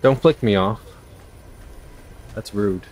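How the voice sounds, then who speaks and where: A man's voice: fairly low pitch, slow, darkish tone. A man in his twenties chatting casually with friends while streaming a game, laid-back and slangy, swearing freely. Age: 20s. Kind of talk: casual gaming chat with friends. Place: indoor room, seated at a desk.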